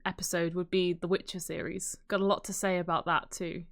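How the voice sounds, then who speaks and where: A woman's voice: on the low side, little pitch movement, brisk, creaky. A woman co-hosting a podcast, chatting casually in an informal, agreeable way. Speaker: podcast co-host. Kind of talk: casual chat about films and games.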